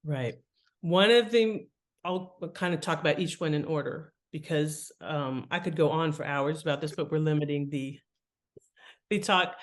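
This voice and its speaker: A middle-aged American woman speaking as an interview guest, conversational and reflective, often pausing to rephrase as she explains. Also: low voice. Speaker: podcast guest, author and editor.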